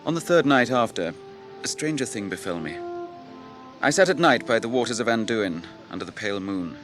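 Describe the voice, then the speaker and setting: Guy doing a stern noble english war captain in a radio play, slow grand phrasing, very animated pitch, soft music under it. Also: natural voice. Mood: haunted, grieving. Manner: declamatory, grave, deliberate. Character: stern, noble warrior captain, probing and grave. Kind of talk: BBC radio drama acting.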